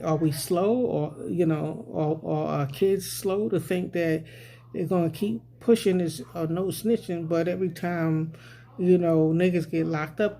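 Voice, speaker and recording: A man's voice: on the high side, gravelly, mellow. A man vlogging a rambling, casual social commentary, often repeating "you know what I'm saying.".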